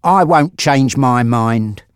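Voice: medium pitch, slow, clear, resonant tone, no echo, clean studio sound, voice pushed up. A narrator voicing a brave, defiant young man under threat in an audiobook story, with a strained, stubborn manner.

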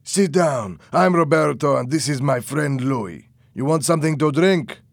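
A man's voice: a little high, unhurried, creaky, dry recording, clean studio sound, voice pushed up. A man voicing a pushy foreign gangster businessman with a strong accent and brusque broken English.

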